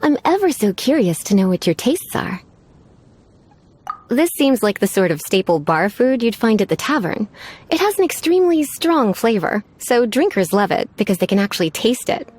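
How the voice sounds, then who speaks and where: A woman's voice: a little high, sing-song, moderate pace, a little mellow, breathy edge. An actress playing a flirtatious, teasing witch librarian with a coy, genteel manner in a video game. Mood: teasing, indulgent. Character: flirtatious, languid adult witch librarian, teasing, quietly menacing. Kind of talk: video game character acting.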